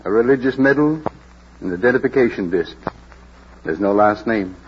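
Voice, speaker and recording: A man's voice: on the low side, moderate pace, slight background noise, close to an everyday voice. An actor playing an earnest, impassioned man in 1940s radio drama style, with dramatic, emphatic delivery. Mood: grave, gentle. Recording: dull vintage radio recording with music.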